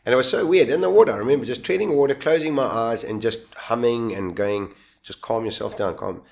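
A man being interviewed, telling a survival story in a casual, animated way, often restarting sentences and laughing at himself. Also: mid-range voice, fairly quick, vocal fry.

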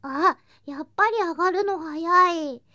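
An affectionate younger girl cousin, played by a woman, speaks in a high, sweet voice at an unhurried pace. She is playful and coaxing, softening into whispers as in an ASMR situation drama. The sound is dry and close. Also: dry recording, full character voice. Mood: caring, affectionate. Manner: soft, sweet, coaxing, drifting into whispers and singsong. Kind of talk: ASMR situation voice drama, intimate roleplay to listener.

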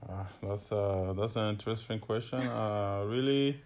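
A man from Togo with a low, gravelly voice. He speaks haltingly, filling pauses with "uh" and "um". The talk is a casual personal chat. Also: a little mellow. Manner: hesitant, many fillers. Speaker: interviewee, husband and father.

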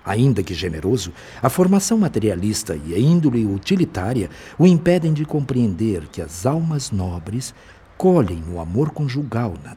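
A man playing a wise, calm spirit physician in a radio drama, explaining things in a measured, formal, fraternal way. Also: fairly low pitch, slight background noise.

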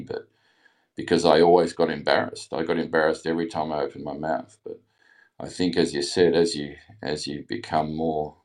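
A middle-aged man with an Australian accent, talking haltingly with many ums and restarts as a guest on a call. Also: extremely low pitch, gravelly, a little mellow. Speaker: caller. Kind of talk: casual chat.

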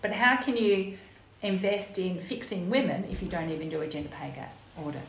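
A woman's voice: lowish voice, brisk. An Australian woman, raised in Western Australia and first speaking Italian, talking as a podcast guest in a confident, emphatic way with frequent agreement. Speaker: guest, executive officer of a gender equity group. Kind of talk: conversational podcast interview. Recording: echoey room with faint noise.

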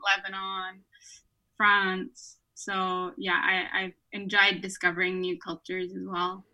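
A woman's voice: mid-range voice, completely flat delivery, takes it slowly, pushed and bright, low-quality recording. A woman in her twenties from Peru, a guest on an online podcast, telling her story in a friendly way and laughing often. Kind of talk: casual interview storytelling. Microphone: remote online recording.

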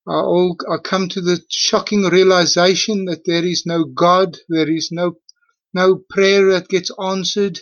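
A man in his late fifties with a South African accent, leaving an earnest, halting and emphatic personal testimony as a voicemail. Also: sits high, fairly bright tone. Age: late 50s. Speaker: voicemail caller. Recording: dull lo-fi voicemail audio.

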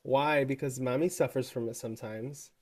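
A young man co-hosting a casual podcast, joking in a dry, deadpan way and drawing out his words. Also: medium pitch, moderate pace. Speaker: podcast co-host. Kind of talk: casual chat.

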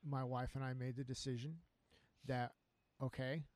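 A man, telling his story as an interview guest in an earnest, unhurried way. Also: mid-range voice, level tone, a little mellow, no echo. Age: 50s. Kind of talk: casual interview chat.

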